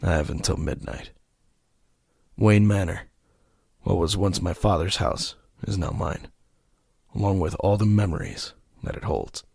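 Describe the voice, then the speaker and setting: A grim crime-fighting detective played by a male actor, narrating and speaking in an audio drama. The voice is very low and full of fry, terse and brooding, recorded dry. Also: a little slow, long pauses, darkish tone, dry recording, exaggerated voice. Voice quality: gravelly, husky.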